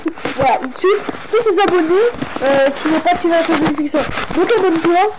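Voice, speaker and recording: A woman's voice: very high-pitched, fast, noisy background. A young woman with a stuffy head cold, rambling through a casual showcase of a game map for her channel, with many fillers and restarts. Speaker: gaming YouTuber.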